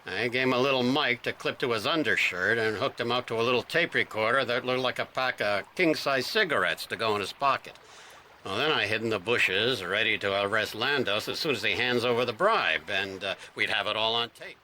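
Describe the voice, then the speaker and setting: Gruff cop, very animated. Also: male voice, medium pitch, fairly quick, a little hiss or hum, poor audio. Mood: confessing. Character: defensive, cagey, gruff male police detective. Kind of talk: detective radio drama acting.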